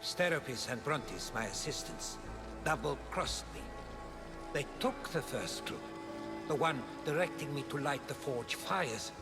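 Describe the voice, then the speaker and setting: A man's voice: on the high side, lively intonation, measured pace. A man playing a weary, tormented, brooding god of invention in a video game cutscene. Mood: grave, bitter. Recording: loud game music underneath.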